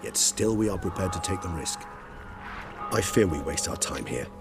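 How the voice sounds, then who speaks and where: An actor playing a calm, erudite gentleman companion in a fantasy game, polite, measured and dryly understated. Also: deep voice, over music. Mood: firm, courteous. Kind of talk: video game cutscene acting.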